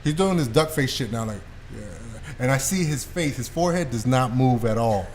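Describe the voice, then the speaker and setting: A man's voice: mid-range voice, lively intonation, normal speed, slight background noise. A man hosting a podcast, chatting casually and teasingly with plenty of swearing. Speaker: podcast host. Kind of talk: casual joking group chat.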